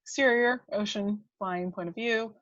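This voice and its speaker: A woman reads out screenplay action in a medium-pitched voice. She serves as the narrator of an amateur script reading, delivering scene descriptions and stage directions. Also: measured pace, fairly bright tone, no room sound, muffled recording.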